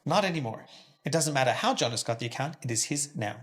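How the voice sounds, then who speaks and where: A man's voice: mid-range voice, animated, quick pace, fairly bright tone. An actor playing a stern elderly tycoon father who scolds his children and later weakens and coughs. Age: older. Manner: commanding, scolding, turns weak and coughing later. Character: stern elderly male tycoon father, head of a family firm. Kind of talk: melodrama acting.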